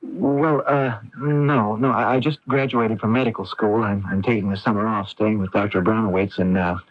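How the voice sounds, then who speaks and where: An actor playing a polite, earnest young medical graduate in a radio drama, with a diffident, halting manner. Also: male voice, fairly low pitch, steady tone, natural voice. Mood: flustered, hesitant. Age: young adult. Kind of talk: radio drama narration and dialogue. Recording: dull, noisy vintage AM radio broadcast.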